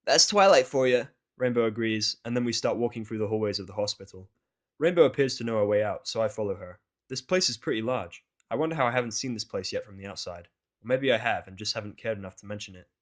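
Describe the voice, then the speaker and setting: A man's voice: on the low side, fast talker. A man narrating a scripted first-person fan fiction story in a casual, grumbling, sardonic way.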